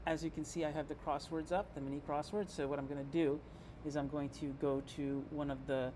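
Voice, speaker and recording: Man giving a halting demo, noisy recording. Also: fairly high pitch, level tone. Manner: halting, explanatory, thinking aloud. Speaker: presenter giving a software demo. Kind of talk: informal screen-reader tutorial narration.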